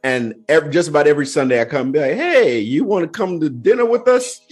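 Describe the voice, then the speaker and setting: A Nigerian man hosting a podcast, telling a story in a relaxed, animated way and acting out the voices he quotes. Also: fairly high pitch, fast talker. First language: Yoruba. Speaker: podcast host. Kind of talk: casual storytelling chat.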